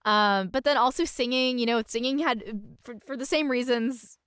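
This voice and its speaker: A woman with a smooth, clear voice speaks in a very dry recording. She is a podcast guest reflecting casually, expressive but halting, and gently self-deprecating. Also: fairly high pitch, dry recording.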